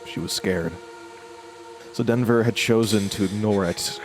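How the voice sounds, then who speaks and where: A male actor as a brooding narrator of a horror audio drama. His voice is very deep and he takes his time, halting and reflecting as he goes. Music plays clearly behind his grave, haunted telling. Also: natural voice. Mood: somber, reflective. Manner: slow, halting, reflective delivery.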